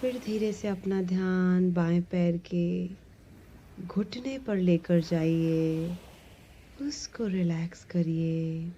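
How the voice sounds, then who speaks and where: A woman leads a guided relaxation meditation, giving gentle, soothing instructions with long pauses between phrases. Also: on the low side, steady tone, dull audio, slight background noise. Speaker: yoga nidra meditation instructor.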